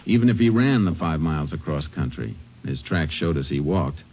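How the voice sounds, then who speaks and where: An actor playing a calm, methodical American lawman in an old-time radio drama, speaking in a dry, courteous, folksy way. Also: a bass voice, moderate pace, breathy edge, full character voice. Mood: thoughtful. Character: calm, methodical male Texas lawman investigating a murder. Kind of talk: old-time radio drama acting and first-person narration. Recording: muffled vintage radio recording with music.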